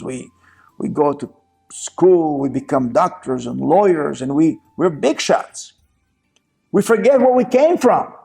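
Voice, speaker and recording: Brief: high-pitched, mellow-toned man from Israel, earnest preacher, music faint behind. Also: creaky, husky. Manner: slow, earnest, reads scripture aloud. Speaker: teacher of scripture. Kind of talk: sermon with scripture reading.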